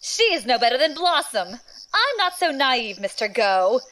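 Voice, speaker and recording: A woman's voice: high voice, big pitch swings, measured pace, very noisy. A woman playing a haughty, menacing young sister in an audio drama, scornful and theatrical.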